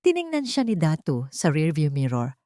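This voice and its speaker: A woman with a low, even voice tells a grim story in a steady, scripted way. She is recorded close and dry, with no room sound around her. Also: normal speed, dry recording. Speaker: narrator. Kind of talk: scripted dramatic narration.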